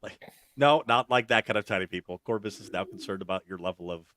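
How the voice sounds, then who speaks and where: A man running a tabletop game as game master, narrating in a relaxed, unhurried way with fillers. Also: lowish voice, no echo, studio quality.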